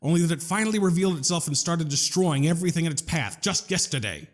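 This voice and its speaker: A wry gentleman scientist and saboteur played by a man from an audio drama. His voice sits fairly high for a man and swings expressively. He speaks formally and wordily, with an unruffled, sardonic polish. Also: lively intonation, fast, lightly acted. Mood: earnest, explanatory. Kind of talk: scripted audio drama acting.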